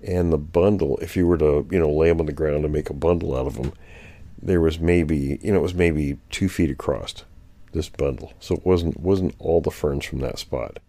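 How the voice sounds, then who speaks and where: A man's voice: extremely low pitch, moderate pace, dark tone, dry recording. A man talking casually on a podcast, recounting field finds in a rambling way with many restarts. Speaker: guest anthropologist.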